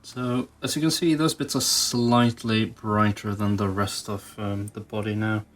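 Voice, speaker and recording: Low-pitched man, halting casual commentary. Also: fairly even intonation, fairly bright tone. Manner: halting, musing aloud. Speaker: toy customizing video host. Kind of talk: casual hands-on tutorial commentary.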